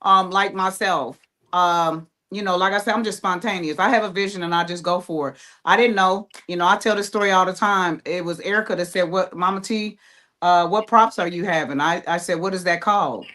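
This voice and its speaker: A woman's voice: fairly low pitch, fairly quick, sharp tone. A woman chatting casually as a playwright on a panel, plain-spoken and encouraging. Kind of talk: casual chat.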